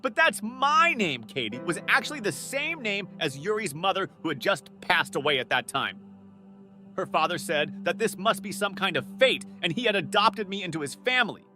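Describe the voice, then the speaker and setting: An actor playing an earnest, easily flustered young student butler in an anime-style romantic comedy dub, polite and devoted. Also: male voice, high voice, big pitch swings, moderate pace, sharp tone, soft background music, full character voice. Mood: reflective.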